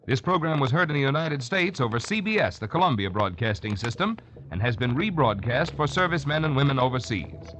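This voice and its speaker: A man's voice: mid-range voice, big pitch swings, fairly quick, a bit bright, slight background noise, low-quality recording. A man announcing from a script in formal 1940s radio style. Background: music.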